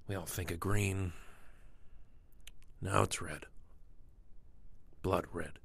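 A man playing a weary, principled lawman in a noir radio drama, grave and measured. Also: sits low, steady tone, gravelly, a bit bright, breathy edge, exaggerated voice. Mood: brooding.

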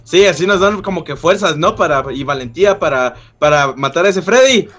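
A man's voice: on the high side, lively intonation, brisk, fairly bright tone, soft background music. A man playing a nervous little boy trying to be brave in an improvised Minecraft roleplay, exclaiming and fretful. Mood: nervous, resolute.

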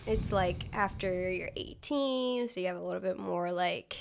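Twenties girl podcasting w a cold, bit of vocal fry, chatty and rambly. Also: lowish voice, moderate pace, a little hiss or hum. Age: 20s. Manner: chatty, laughs easily, trailing sentences. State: getting over a cold, dry throat. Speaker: podcast co-host. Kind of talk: casual chat. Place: small studio room with purple lights, indoors. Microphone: studio mic on a stand with headphones.

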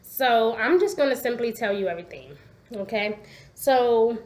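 A congested, hoarse woman in her forties, telling her story in a chatty way to a laptop webcam at home. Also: fairly high pitch, normal speed. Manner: chatty, animated, gestures a lot, asks "okay?" often. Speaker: vlogger. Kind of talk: casual personal storytelling to camera. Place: living room at home.

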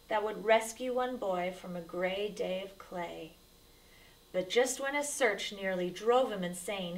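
A woman's voice: medium pitch, far from the mic, poor audio. A woman reading a rhyming picture book aloud to children as a storyteller, with dramatic, emphatic delivery.

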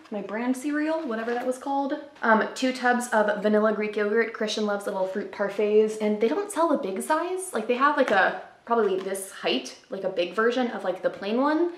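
A woman's voice: on the high side, lively intonation, normal speed, a little hiss or hum. Chatty young woman in an echoey living room. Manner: chatty, upbeat, lots of "like" fillers. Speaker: lifestyle vlogger. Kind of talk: casual grocery haul chat to camera. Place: living room at home, indoors.

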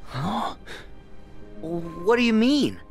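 A man's voice: high-pitched, sing-song, extremely slow, soft background music, exaggerated voice. A man voicing a defiant, earnest teenage boy hero in a video game, with an indignant delivery. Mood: indignant, puzzled. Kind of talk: video game cutscene acting.

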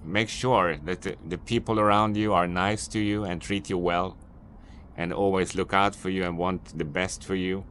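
A Swedish man talks straight to camera in unscripted English, his voice a little low and his advice circling back on itself as he admits to some annoyance. Faint city ambience hums behind him out on the street. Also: a bit bright, a little hiss or hum. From Sweden. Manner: rambling, repetitive, mildly annoyed advice-giving. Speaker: vlogger, business owner. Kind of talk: unscripted vlog monologue.

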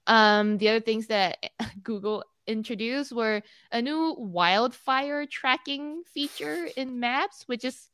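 A woman's voice: fairly high pitch, takes it slowly, a bit bright, clear, resonant tone. A young woman co-hosting a podcast, chatting casually about tech news in a bubbly way, speaking into a mic on a video call from home. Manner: chatty, bubbly, many fillers. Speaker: podcast co-host. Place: home room, indoors. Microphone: studio mic on a video call.

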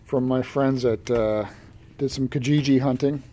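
A man with a mellow, dark-toned voice of medium pitch casually runs through items in a terse, plain way. It is a homemade phone recording made indoors, with noticeable noise in the background. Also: steady tone, measured pace. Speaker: podcast co-host showing collection pickups. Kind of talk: casual chat, listing items shown. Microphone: handheld phone camera mic.

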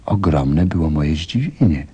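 Very deep, husky, wistful pilot storyteller played by a man. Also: lively intonation, measured pace, warm tone, full character voice. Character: reflective pilot recalling his little friend. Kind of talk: scripted radio play narration.

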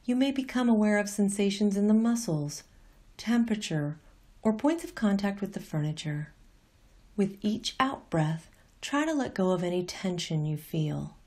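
A woman reading a scripted guided meditation as an instructor, in a gentle, calm and unhurried manner. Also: medium pitch.

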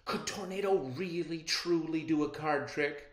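A curious little farm boy from a storybook, done by a man. He sounds earnest and careful, slightly high, in a roomy space. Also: measured pace, lightly acted. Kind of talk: storybook character reading. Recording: roomy echo.

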